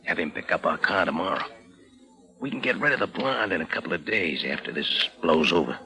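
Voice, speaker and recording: A slick schemer from a vintage radio crime play. His voice is low and very forceful, with lively swings in pitch, and his talk is smooth and cagey. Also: fairly quick, exaggerated voice, poor audio. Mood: terse, conspiratorial. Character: slick, scheming male crook feigning politeness. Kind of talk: 1940s radio crime drama acting.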